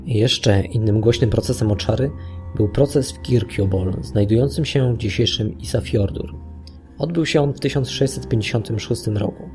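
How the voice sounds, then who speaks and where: Mellow male narrator over music. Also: mid-range voice. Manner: measured, literary storytelling delivery. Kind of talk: scripted storytelling narration of an audio documentary.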